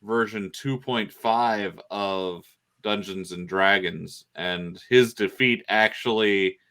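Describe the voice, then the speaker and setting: A man explaining things casually on a podcast, in a halting way with pauses mid-phrase. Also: fairly low pitch, sharp tone. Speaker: podcast panelist.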